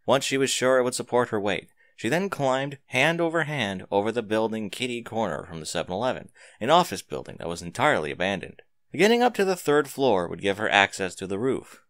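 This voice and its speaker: A man's voice: lowish voice, big pitch swings, fairly quick, a bit bright, no room sound. A man reading scripted fiction aloud as a dramatic narrator, close to the microphone.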